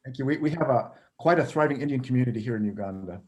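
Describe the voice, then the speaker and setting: A man's voice: mid-range voice, expressive, quick pace, a little hiss or hum. A man hosting a video call, presenting a slideshow talk in a friendly, plain way. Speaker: travel photography company head, presenter.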